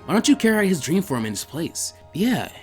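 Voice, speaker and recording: A man's voice: high voice, sing-song, fast talker, soft background music. A man voicing a brash, earnest twelve-year-old ninja boy who urges a friend on in an informal, emphatic way. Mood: encouraging, determined.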